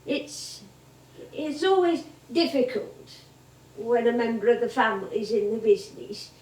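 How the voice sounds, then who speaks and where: An actress playing an elderly English music hall comedienne on stage, chatty, rambling and nostalgic. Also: female voice, a little high, lively intonation, a little slow, darkish tone. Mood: fond, nostalgic. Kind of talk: theatrical stage monologue and dialogue. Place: theatre stage. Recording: echoey theatre, distant mic.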